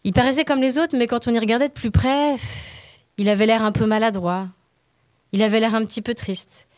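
A woman's voice: on the high side, steady tone, brisk, darkish tone, no room sound. A woman telling a playful, dramatic story as a podcast columnist.

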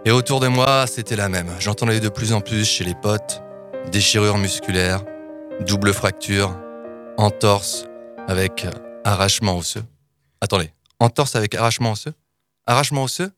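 Chroniqueur trentenaire à la voix grave, sur fond musical. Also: male voice, on the low side. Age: 30s. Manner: playful, informal, mock-dramatic. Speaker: podcast columnist. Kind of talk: scripted humorous narration.

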